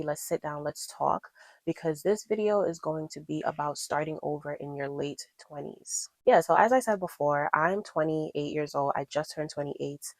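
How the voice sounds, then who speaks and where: A woman's voice: low voice, vocal fry, dry recording, low-quality recording. A woman in her twenties talking casually to her viewers as a vlogger, encouraging and emphatic, repeating her points for stress. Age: 20s. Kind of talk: casual chat to camera.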